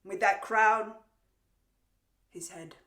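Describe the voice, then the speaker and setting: A woman performing a Shakespearean stage monologue as a vengeful warrior queen, theatrical and taunting, with drawn-out pauses, gloating over a captive. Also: mid-range voice, steady tone, pushed and bright. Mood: scornful, menacing. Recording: roomy, echoing untreated room.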